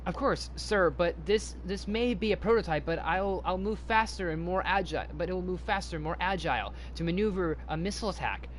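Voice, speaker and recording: A man voicing a proud, idealistic young woman inventor in a read-aloud story, earnest and halting. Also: high voice, a little hiss or hum, voice pushed up, lightly acted. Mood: earnest, nervous.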